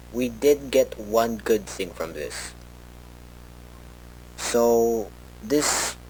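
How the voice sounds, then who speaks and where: A man playing a worried researcher who records a rambling, halting, informal tape log for an analog horror story. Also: on the low side, a little hiss or hum, poor audio. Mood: somber, halting. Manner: hesitant, halting, rambling with self-corrections.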